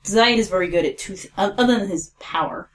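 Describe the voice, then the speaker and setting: A woman's voice: mid-range voice, fast talker, a little hiss or hum. A woman, co-creator of an audio drama, chatting casually on a podcast, rambling and correcting herself as she talks and laughing often.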